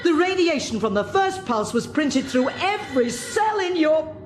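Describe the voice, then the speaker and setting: A woman's voice: high-pitched, very animated, moderate pace. Grieving sarcastic scientist lady in a radio play, voice swings all over, echoey with music. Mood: anguished. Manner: sarcastic, anguished. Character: bitter, grieving woman scientist, sardonic and defiant. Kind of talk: radio drama acting. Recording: roomy echo with music behind.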